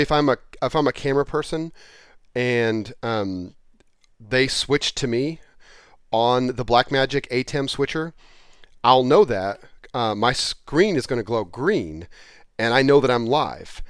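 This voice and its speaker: A man's voice: mid-range voice, clean tone, no room sound. Man giving an informal product walkthrough, dry sound. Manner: conversational, explanatory, rambling with asides. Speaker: presenter explaining software licenses.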